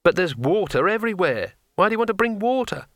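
A male narrator voicing a hapless, anxious wizard who grumbles and pities himself, pitched a little above his own voice. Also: very animated, fast talker, fairly bright tone, dry recording, clean studio sound, exaggerated voice. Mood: grumbling. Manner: gloomy, self-pitying complaints.

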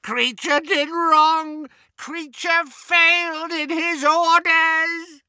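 A man voicing a wretched, groveling old male house-elf who wails shrilly or mutters in a muffled hush. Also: flat delivery, extremely slow, no echo, clean studio sound, exaggerated voice. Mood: frantic wailing. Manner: shrill and frantic when wailing, muffled and hushed when recounting. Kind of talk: audiobook character voice.

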